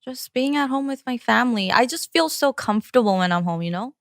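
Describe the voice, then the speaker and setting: Young woman, curious and questioning, lively intonation, mid pitch, dry studio sound. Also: a little fast, dry recording. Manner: curious, asks lots of questions. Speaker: podcast co-host. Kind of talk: casual podcast chat.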